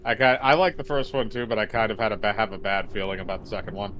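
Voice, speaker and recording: A man's voice: on the low side, steady tone, fast talker, a bit bright, a little hiss or hum, poor audio. A man co-hosting a gaming stream, chatting casually and agreeing easily. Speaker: co-host.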